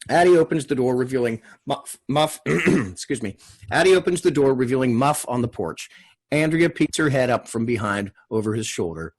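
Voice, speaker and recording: Husky man reading screenplay directions. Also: mid-range voice. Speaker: stage-direction reader.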